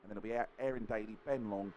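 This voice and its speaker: A man calling a sim race at a rapid clip. His voice is hoarse from a sore throat, and he gets more excitable as the finish nears. Steady background noise sits under the stream. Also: muffled recording. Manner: excitable, fast, rises in pitch at the finish. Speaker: lead race commentator. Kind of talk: sim racing commentary.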